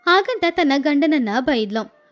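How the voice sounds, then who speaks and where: A woman narrating a children's moral story in a plain, steady way, over faint music. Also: high voice, a little slow.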